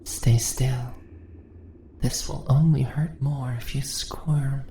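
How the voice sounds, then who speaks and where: Slow, whispery, taunting spider monstress, played by a woman. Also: a bass voice, fairly even intonation, exaggerated voice. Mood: mocking, commanding. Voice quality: breathy, often dropping into whispers. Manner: slow, teasing, condescending, drawn-out questions. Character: seductive, taunting, dominant female spider monster. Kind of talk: ASMR roleplay, intimate and whispery. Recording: roomy echo, faint background noise.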